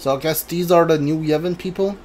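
A man's voice: fairly high pitch, brisk, darkish tone, a little hiss or hum. A man giving casual video game playthrough commentary, mumbling his thoughts aloud as he plays. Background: game music.

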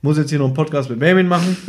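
A man's voice: fairly high pitch, very fast, a little hiss or hum. A tired man co-hosting a podcast, bantering casually in a blunt, teasing way. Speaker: podcast co-host.

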